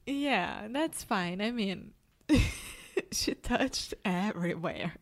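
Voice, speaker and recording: Slow-talking woman. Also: medium pitch, animated. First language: Portuguese. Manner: laughs often, careful non-native phrasing. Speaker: podcast co-host. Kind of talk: casual chat.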